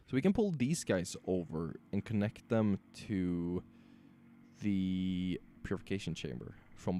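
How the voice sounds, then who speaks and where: A man with a raspy, sore voice, talking himself through building machines while streaming a game. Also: low-pitched, dark tone, a little hiss or hum. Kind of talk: casual gameplay commentary.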